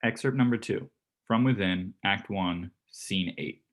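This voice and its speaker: A man's voice: sits low, measured pace, a bit bright. A man reading stage directions as scripted narration for a play.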